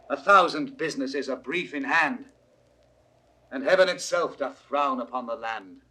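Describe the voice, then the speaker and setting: A man's voice: mid-range voice, unhurried, bright, forceful tone, husky. An actor playing a stern, suspicious, grief-struck nobleman in weighty, declamatory 1960s Shakespearean style. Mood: troubled, declaiming. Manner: declamatory, weighty verse delivery. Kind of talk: 1960s classical Shakespearean recorded acting.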